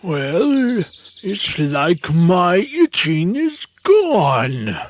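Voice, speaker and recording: Actor as haughty cartoon lion monarch, very slow and drawn-out, swooping pitch, grumbling and grand. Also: very animated, a little hiss or hum. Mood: humbled. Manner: imperious, groaning, drawn-out delivery. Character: grumpy, haughty lion king of the forest. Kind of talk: children's animated storybook voice acting.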